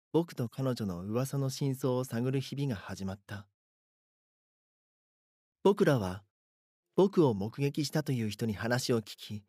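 A man's voice: medium pitch, a little mellow, dry recording, studio quality, close to an everyday voice. A man playing an anxious, bashful schoolboy who narrates his own story earnestly in a voice drama. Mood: brooding, earnest. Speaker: voice actor. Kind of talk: Japanese voice drama, first-person narration and dialogue.

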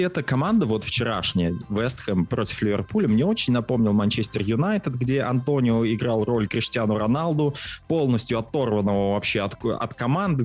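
A man co-hosting a casual football podcast, talking analytically with frequent hesitations and fillers. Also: on the low side. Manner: opens by reciting a short poem, then talks analytically with many fillers. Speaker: podcast co-host.

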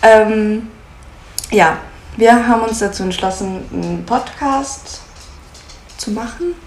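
A woman in her twenties from Munich chats easily as a podcast co-host, laughing often and filling pauses as she goes. Her voice has a roomy echo with a faint hiss behind it. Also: a little high, vocal fry, a little mellow. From Munich, Germany. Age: 20s. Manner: chatty, laughs easily, frequent filler sounds. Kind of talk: casual chat. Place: at home in Munich. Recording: echoey room with faint noise.

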